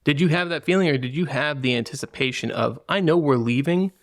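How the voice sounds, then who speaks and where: A man's voice: a little high, brisk, dry recording, studio quality. A man hosting a podcast, curious in conversation and asking follow-up questions. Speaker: podcast host. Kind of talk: conversational interview and outro.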